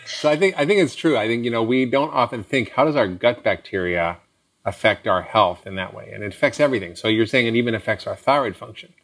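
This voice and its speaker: A man hosting an interview, affirming and summarizing the guest's points in a brisk way. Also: mid-range voice, animated, a bit bright. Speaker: interview host. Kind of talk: interview conversation.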